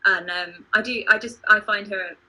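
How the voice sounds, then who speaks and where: A woman's voice: medium pitch, steady tone, moderate pace, sharp tone, rough recording. A woman chatting casually as a host on a livestream, earnest and hesitant, sometimes poking fun at herself. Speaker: playwright and podcast host. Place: home video call.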